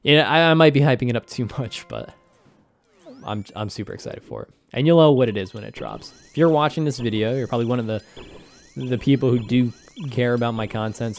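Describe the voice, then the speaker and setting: Slightly hoarse man casually commenting on a game. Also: medium pitch. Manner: rambling, self-deprecating, laughs often. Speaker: gaming commentator.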